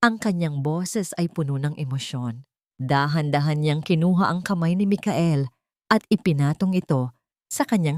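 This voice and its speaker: Low-pitched woman narrating dramatic stories, dry sound. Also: darkish tone, no room sound. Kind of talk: dramatic scripted story narration.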